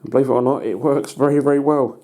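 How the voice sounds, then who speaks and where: A British man giving a casual hands-on demonstration as a hobbyist, in a halting, matter-of-fact way. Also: medium pitch, fairly even intonation, dark tone, no echo. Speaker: hobbyist presenter. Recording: noticeable background noise.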